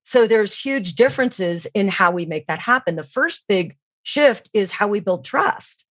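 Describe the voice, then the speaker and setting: Female expert guest for an interview podcast, mid-pitched, instructive, poses and answers own questions, dry recording. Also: lively intonation, measured pace, no echo. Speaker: guest, sales training expert. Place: remote online call.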